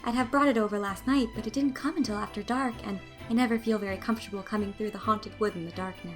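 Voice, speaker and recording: A woman's voice: medium pitch, steady tone, faint music behind, close to an everyday voice. A woman voicing a friendly young girl who talks breathlessly. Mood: eager. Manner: rushed, breathless.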